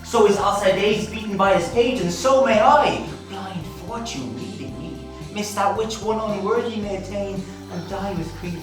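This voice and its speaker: A woman's voice: mid-range voice, moderate pace, lightly acted. A woman playing a proud warrior prince suitor, declaiming grandly on stage in an echoey hall with music behind. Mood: rueful. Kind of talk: stage acting.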